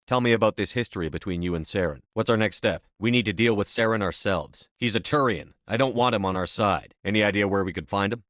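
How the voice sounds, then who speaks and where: A man's voice: on the low side, fairly bright tone, no echo, clean studio sound, natural voice. A male actor voicing a stern, commanding military officer in a science fiction video game, speaking with firm, clipped authority. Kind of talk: video game voice acting, dramatic dialogue lines.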